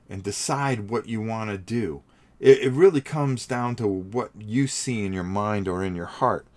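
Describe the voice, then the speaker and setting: A man's voice: mid-range voice, a little mellow. A middle-aged man with a cold, chatting to his livestream viewers as he paints, rambling and repeating words. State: sniffly from a cold. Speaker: hobby livestream host. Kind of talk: casual livestream narration while painting.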